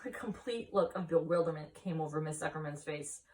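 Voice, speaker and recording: A woman's voice: low-pitched, fairly quick, darkish tone. A woman reading a children's storybook aloud as a story-time narrator. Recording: voice distant from the mic.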